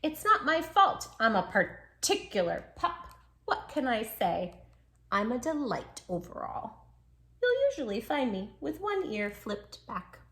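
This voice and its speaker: Woman reading as an animated, proud little storybook dachshund. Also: a little high, a little slow, heavily acted. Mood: cheeky. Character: small, proud, affectionate male dachshund, timid and stubborn. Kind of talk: storybook diary read aloud.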